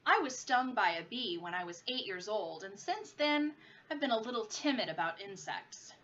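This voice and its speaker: A woman's voice: mid-range voice, expressive, normal speed, a bit bright, lo-fi sound, lightly acted. A woman voicing a refined, timid male music teacher with precise, flowery diction in a storybook reading. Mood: nervous, polite.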